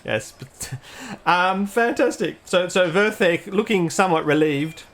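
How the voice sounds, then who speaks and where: A man narrating as game master, animated and hesitant, laughing often. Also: sits high, unhurried.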